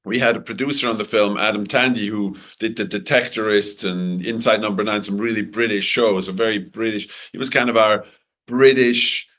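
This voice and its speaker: Bright-voiced Swiss man, losing his voice. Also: on the low side, fairly even intonation. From Switzerland. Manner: halting, many restarts and fillers. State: losing his voice, clearing throat. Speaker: film director. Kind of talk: interview answers.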